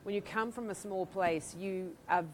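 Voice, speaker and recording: A woman's voice: medium pitch, normal speed, a little hiss or hum. A middle-aged woman with a New Zealand accent, a guest speaking enthusiastically in an interview with frequent laughs. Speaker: author and guest. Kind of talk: interview conversation.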